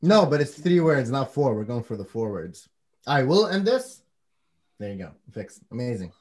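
A man co-hosting a relaxed talk show who keeps the energy up. His voice is lively and wide-ranging, rising high when he laughs, and he readily jumps in with reactions. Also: normal speed. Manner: animated, laughing, upbeat. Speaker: co-host. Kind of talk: casual podcast chat.

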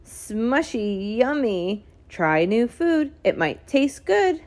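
A gentle, encouraging mama tiger from a picture book, as a woman reader acts her. Her intonation is highly animated. She coaxes cheerfully and with motherly care. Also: slow pace. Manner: nurturing, coaxing, cheerful.

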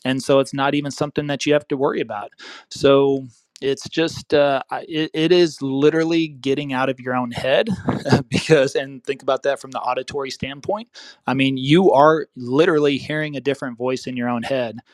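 A man's voice: mid-range voice, steady tone, moderate pace, no echo. A man hosting a podcast, giving casual advice in a rambling way and correcting himself as he goes. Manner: rambling, self-correcting, chuckles often. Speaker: real estate podcast host.

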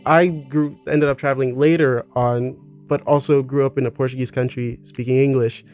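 A man hosts a relaxed podcast conversation with loose, rambling energy. His voice sits at an ordinary middle pitch, and he keeps trailing off into fillers. Faint music plays underneath. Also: moderate pace. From Southern Africa. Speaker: podcast host. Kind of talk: casual podcast chat.

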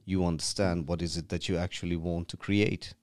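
Podcast guest: Sri man, deep low voice, dry close sound, chatty and full of restarts. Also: little pitch movement, a little fast, darkish tone, no room sound. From Sri Lanka. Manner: repeats and restarts words often, chatty. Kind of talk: casual conversational explaining. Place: rented room.

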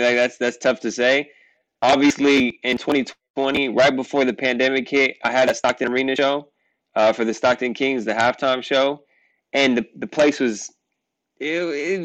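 A man's voice: medium pitch, fairly bright tone, no room sound, rough recording. A young man whose first language is Portuguese, a rapper talking casually as a guest, rambling and filling with "like" and "you know what I mean.". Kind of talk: casual interview chat.

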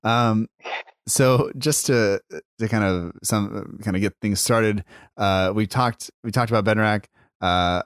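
A man with a low voice hosts a podcast casually. He is laid-back and easygoing, phrasing things loosely as he goes. The sound is dry and close. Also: no echo. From United States. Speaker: podcast host.

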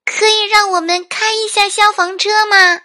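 A woman voicing a bold, excitable little girl in a storybook, exclaiming and wheedling. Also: extremely high pitch, normal speed, voice pushed up. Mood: eager.

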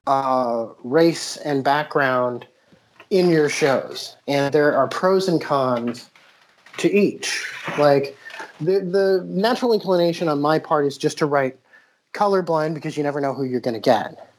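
A man's voice: fairly high pitch, a little slow, a little hiss or hum, poor audio. A man from the United States, a podcast host and playwright, telling stories animatedly with many "you know" fillers. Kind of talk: casual interview talk.

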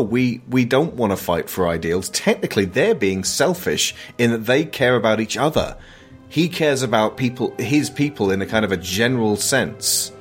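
Male film podcast host, low voice, earnest and thoughtful, faint music underneath. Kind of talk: reflective film discussion.

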